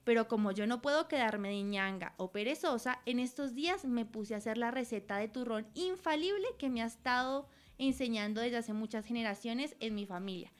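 A woman's voice: on the high side, moderate pace, hardly any pauses, natural voice. A woman voicing a chatty dessert seller from Cauca with a put-on Cauca Colombian accent. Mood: cheerful. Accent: Cauca, Colombia (imitated).

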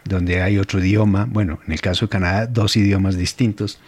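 Middle-aged male radio host, low voice, thoughtful, pauses and fillers. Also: steady tone, a little mellow, husky. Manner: reflective, halting with frequent fillers. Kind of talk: radio interview.

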